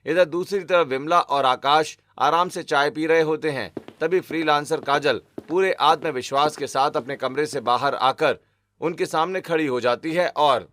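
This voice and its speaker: A man's voice: fairly high pitch, normal speed, fairly bright tone, slight background noise. A man giving scripted narration for a cartoon family story.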